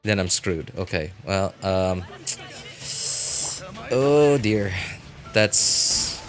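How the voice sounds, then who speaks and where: A man giving casual live game commentary as a streamer, rambling and halting, often breaking off mid-thought to react. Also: low-pitched, lively intonation, faint music behind.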